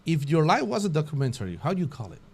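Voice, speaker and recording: A man hosts a casual interview. His voice sits a little high, and he speaks in friendly, halting phrases with many restarts. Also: very animated, breathy edge. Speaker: podcast host.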